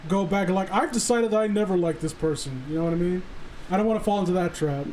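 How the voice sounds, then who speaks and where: High-pitched man rambling casually, faint background noise. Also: a little mellow, poor audio. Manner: halting, rambling, often swearing. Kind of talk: casual chat on a livestream.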